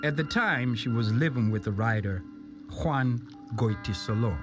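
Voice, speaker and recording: A man's voice: medium pitch, animated, unhurried. A man reads scripted documentary narration in the role of narrator. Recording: music behind the voice. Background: music.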